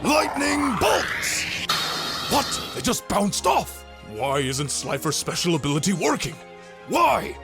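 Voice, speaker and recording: An earnest, intense teenage duelist voiced by a man, theatrical and exclamatory. The voice sits fairly high and swings a lot, with some music behind it. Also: huge pitch swings, takes it slowly, creaky, heavily acted. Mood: urgent, alarmed. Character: earnest, dramatic teenage boy card duelist. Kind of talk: dramatic manga voice acting.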